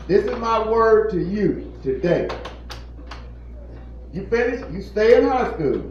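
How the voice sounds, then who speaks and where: A man in his fifties, a plant manager, giving an earnest, repetitive motivational talk to a group of children. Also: high voice. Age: 50s. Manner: earnest, repetitive, preacherly emphasis. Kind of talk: informal motivational talk to kids. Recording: echoey, distant, muffled room recording with faint noise.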